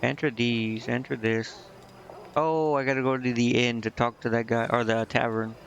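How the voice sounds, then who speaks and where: A man giving casual game commentary as a streamer, rambling and thinking out loud. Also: mid-range voice, little pitch movement, moderate pace. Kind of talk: casual gameplay commentary, reading game dialogue aloud. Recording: muffled mic with clear background noise.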